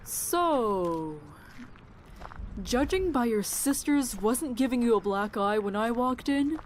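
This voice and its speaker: A woman's voice: a little high, lively intonation, slow pace, slight background noise. An actress playing a perceptive woman doctor in a zombie apocalypse audio drama, chatty and matter-of-fact. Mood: breezy.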